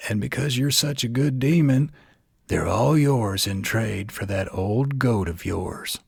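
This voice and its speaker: A man's voice: medium pitch, measured pace, vocal fry, breathy edge, dry recording, studio quality, pitched above the performer's own voice. A man voicing a funny little old trader from a bedtime storybook, chirpy and persuasive. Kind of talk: storybook character in bedtime audio.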